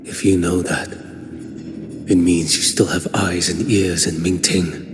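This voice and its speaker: A man's voice: low-pitched, fairly even intonation, measured pace, noisy background. An actor playing a terse, grim wandering male swordsman in a video game, clipped and cold. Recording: game audio under very loud music.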